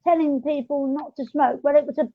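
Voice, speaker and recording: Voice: high voice, steady tone, a little fast, no room sound. A podcast host chatting casually in a hesitant, rambling way. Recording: muffled lo-fi audio.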